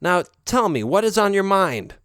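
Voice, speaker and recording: A man's voice: high-pitched, big pitch swings, normal speed, a bit bright, clear, resonant tone, dry recording, clean studio sound, pitched above the performer's own voice. A man voicing a cheerful, chatty young married woman who is a caring friend in a read-aloud story.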